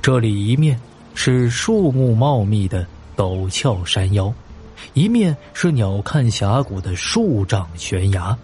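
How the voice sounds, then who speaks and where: A man's voice: lowish voice, sing-song, slow pace, darkish tone, slight background noise. A man narrating a mystery story in a dramatic, scripted audio drama style.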